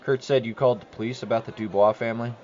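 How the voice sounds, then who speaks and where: A man's voice: mid-range voice, fairly even intonation, rapid-fire, darkish tone, natural voice. A man playing a probing, persistent suburbanite questioning neighbors in an audio drama. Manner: questioning, insistent. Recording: muffled, clearly noisy track.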